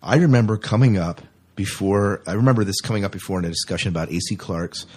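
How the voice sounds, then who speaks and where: A man's voice: deep voice, fast, a little mellow, husky. A man hosting a podcast in casual chat, rambling along in a jokey way. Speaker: podcast host.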